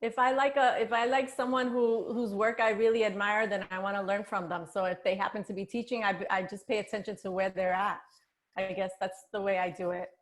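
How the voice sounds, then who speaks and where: A woman's voice: mid-range voice, little pitch movement, brisk. A woman panelist answering candidly and informally in a casual online discussion. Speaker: author, panelist. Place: online video event.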